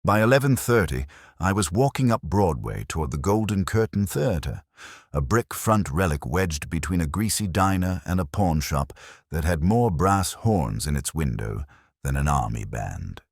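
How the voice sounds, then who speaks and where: Very deep-voiced man narrating detective fiction. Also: very animated, moderate pace, gravelly, husky, no echo, studio quality. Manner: wry, hardboiled storytelling. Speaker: audiobook narrator. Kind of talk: scripted first-person detective story narration.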